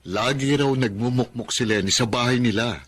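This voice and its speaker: Polite, mid-pitched young son. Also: male voice, moderate pace, fairly bright tone, breathy edge. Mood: polite, informative. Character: polite, respectful young working son and brother. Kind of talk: radio drama acting.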